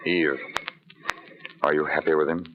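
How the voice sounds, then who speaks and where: Deep-voiced, brooding romantic settler. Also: male voice, little pitch movement, a little slow. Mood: ardent, intense. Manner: earnest, dramatic, formal. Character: ardent, brooding male settler in a colonial outpost. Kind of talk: old-time radio drama acting. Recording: muffled, noisy old radio recording.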